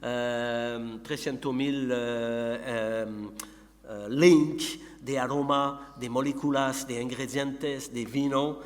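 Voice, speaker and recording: A middle-aged man from Montreal, a native French speaker with a Quebec French accent, gives a conference presentation in a second language, haltingly searching for words. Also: medium pitch, fairly even intonation. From Montreal, Canada. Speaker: conference speaker, company founder and researcher. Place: conference hall. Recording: echoey hall with long reverb, background hum.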